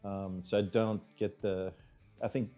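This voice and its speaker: Mellow low-voiced American man, reflective. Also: flat delivery, faint music behind. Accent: American English. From United States. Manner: thoughtful, halting, clears his throat. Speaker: interview guest, engineer. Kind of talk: reflective interview answers.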